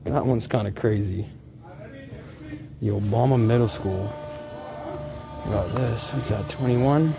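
A man's voice: on the low side, slow pace, long pauses. A man giving casual, off-the-cuff vlog commentary in short, slangy phrases as he sorts through things. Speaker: vlogger, vintage clothing reseller. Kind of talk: casual off-the-cuff commentary while sorting clothes. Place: large indoor thrift warehouse. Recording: clear background noise of a warehouse.

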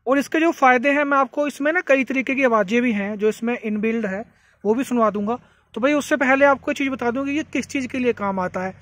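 High-pitched UP man, chatty demo. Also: fast, fairly bright tone. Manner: chatty, repetitive, addresses viewers as brothers. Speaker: farming vlogger. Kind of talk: casual product demonstration to camera. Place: outdoors beside a tractor by a green field.

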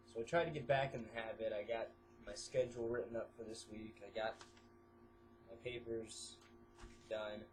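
A man's voice: lowish voice, steady tone, normal speed, pauses often, darkish tone, slight background noise. A teenage boy with a stuffy nose vlogging casually to a webcam in his small bedroom, rambling with lots of fillers and jumping from topic to topic. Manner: rambling, filler-heavy, jumps between topics. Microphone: webcam at desk.

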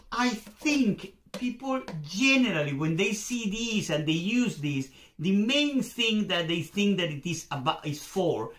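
A man's voice: on the high side, a bit bright. A middle-aged Venezuelan man whose first language is Spanish, speaking English with a Spanish accent as a YouTube host, hesitant with many fillers and restarts, at a desk in a room at home. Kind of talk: casual explainer to camera. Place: home room with shelves, indoors. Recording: roomy echo.

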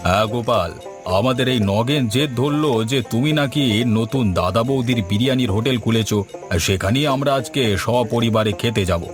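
A man's voice: medium pitch, brisk, soft background music, close to an everyday voice. Man acting indignant elderly village patron, cartoon. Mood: pleased. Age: older. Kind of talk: Bengali cartoon story dialogue.

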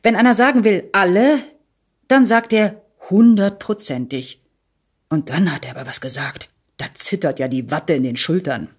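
A woman reading a satirical essay aloud in an expressive, deliberate and emphatic way with dry irony. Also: lowish voice, husky. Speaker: reader of a literary text.

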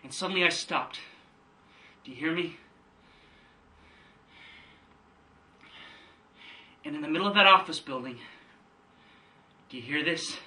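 A man's voice: on the high side, brisk, pushed and bright. A man performing a theatrical monologue as an anguished, defiant grown son confronting his father, in an impassioned delivery. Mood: quiet, searching. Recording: distant microphone.

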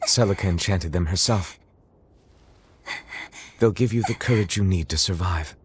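Casting: steady, kindly warrior mentor, low register, measured and reassuring, anime game drama. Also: male voice, slightly rough. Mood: tender, reassuring. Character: gentle, steady swordsman mentor reassuring a frightened child.

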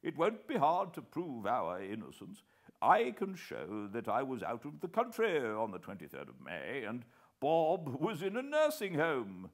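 A man voicing a plump English gentleman who stammers a little and picks his words. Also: mid-range voice, wildly swooping pitch, unhurried, voice pushed up, heavily acted. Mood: placating.

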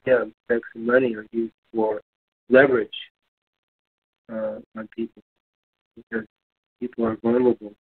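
A man in casual discussion, speaking hesitantly and searching for his words. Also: medium pitch. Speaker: discussion panelist. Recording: thin phone-like line.

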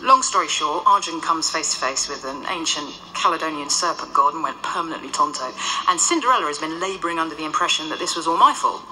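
A woman's voice: deep voice, lively intonation, fast talker, pushed and bright, full character voice, low-quality recording. An actress playing a centuries-old female ghost who lectures with dry wit in a radio drama. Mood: lecturing. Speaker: lead character.

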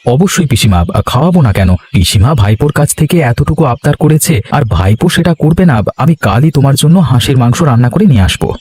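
Man playing a fast-talking, good-natured cartoon villager. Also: mid-range voice, expressive, darkish tone, breathy edge. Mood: reassuring. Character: dutiful, good-natured village nephew and husband. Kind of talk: Bengali cartoon voice acting.

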